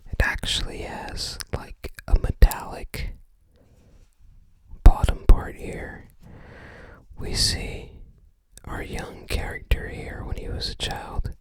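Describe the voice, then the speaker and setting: Very slow, hushed ASMR whisperer. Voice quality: soft breathy whisper. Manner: unhurried, musing aloud. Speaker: ASMR video creator. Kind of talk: ASMR show-and-tell of a book.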